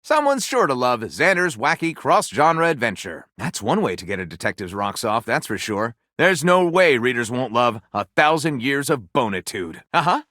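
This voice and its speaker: A man's voice: medium pitch, very animated, normal speed, few pauses, sharp tone, no room sound, studio quality. An actor playing a glib male would-be author in a video game, narrating pulp stories with wry, mock-grand theatrical delivery. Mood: theatrical enthusiasm. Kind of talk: scripted game dialogue, comic narration.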